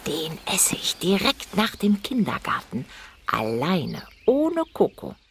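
Lady doing an eager little storybook boy, excited and swoopy, voice pitched up. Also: takes it slowly, gravelly, a little hiss or hum, full character voice. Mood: scheming. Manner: excited, expressive.

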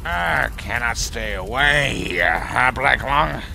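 Outlaw villain: cruel, mocking, gravel-throated, drawn-out delivery with big melodic swings, game fan dub. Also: male voice, mid-range voice, animated, sharp tone, exaggerated voice. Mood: menacing, taunting. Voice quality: gravelly, rasping. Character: sneering, treacherous outlaw villain, cruel and taunting. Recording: music under the voice.

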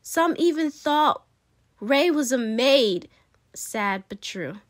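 A woman's voice: sits high, expressive, takes it slowly, no room sound, studio quality. A woman reading a children's picture book aloud expressively, with dramatic emphasis and playful asides. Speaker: read-aloud storyteller.

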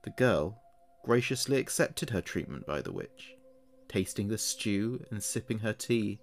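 A man narrating a scripted horror story in a slow, hushed manner. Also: on the low side, expressive, a little hiss or hum.

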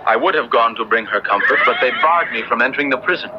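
A man's voice: medium pitch, lively intonation, fast talker, close to an everyday voice. An actor playing an earnest, courteous Episcopalian clergyman, speaking formally in an old-time radio drama. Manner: formal, polite diction. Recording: dull, noisy old radio drama recording.

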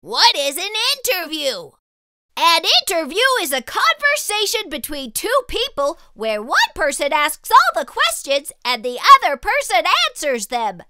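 A woman's voice: high voice, sing-song, measured pace, sharp tone, dry recording, clean studio sound, exaggerated voice. A woman voicing a small, curious, childlike spot creature who eagerly asks lots of questions in a children's storybook read-aloud.

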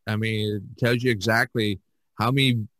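A man hosting a casual podcast discussion, thinking aloud in a halting way and repeating words. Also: on the low side, steady tone, fairly bright tone, slight background noise. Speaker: podcast host and artist.

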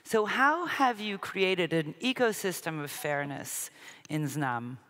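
A woman moderates a conference panel on a large stage, speaking in a measured way. Her voice is bright and crisp. Long reverb from the hall hangs behind her words. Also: lowish voice, animated, slight background noise. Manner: measured, introduces the next question.

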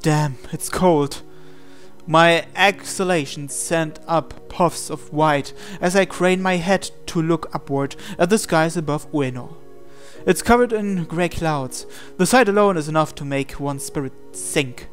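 A man with a fairly high voice narrates a visual novel, reading the story aloud with some drama. Music plays behind him as he reads. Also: measured pace.

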